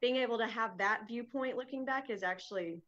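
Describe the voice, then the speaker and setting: Bright-voiced chatty woman interviewer. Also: a little high, a little fast, muffled recording. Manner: chatty, friendly, laughs easily. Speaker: chamber of commerce interviewer. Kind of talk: casual video interview.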